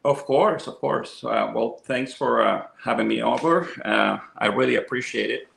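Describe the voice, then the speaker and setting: A man's voice: mid-range voice, moderate pace, vocal fry, fairly bright tone. A man in his fifties from Venezuela, chatting enthusiastically as a guest about his love of music and gear. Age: 50s. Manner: enthusiastic, talkative storyteller. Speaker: interview guest, audio equipment seller. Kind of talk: casual interview chat. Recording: echoey room.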